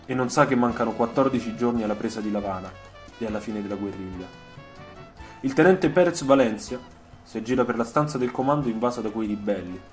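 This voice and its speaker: A man narrating a scripted dramatic radio story, solemn and measured, with deliberate, literary phrasing. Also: lowish voice, darkish tone. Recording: music behind the voice. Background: music soundtrack.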